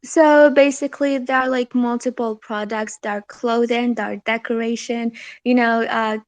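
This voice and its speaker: Young woman around 19 pitching her project, polite, kinda monotone, very dry recording. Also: normal speed, no echo. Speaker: guest, creator promoting a project. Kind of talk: informal spoken pitch.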